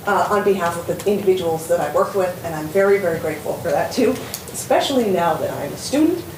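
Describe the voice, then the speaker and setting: A woman giving an earnest conference talk, often pausing on fillers. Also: lowish voice. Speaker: panel speaker. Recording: echoey hall from far off, noisy.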